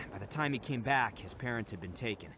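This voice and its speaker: A man's voice: on the low side, animated, normal speed, sharp tone, slight background noise. A man playing a proud, brash, earnest young oni gang leader in a video game, speaking emphatically. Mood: candid, serious. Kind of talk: scripted video game voice acting.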